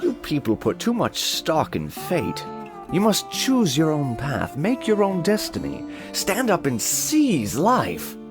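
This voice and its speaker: An actor playing a cynical, indolent manor lord with a sardonic, pompous, lecturing manner in a fantasy audiobook. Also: male voice, a little high, wildly swooping pitch, takes it slowly, full character voice. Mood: scornful. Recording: music behind the voice.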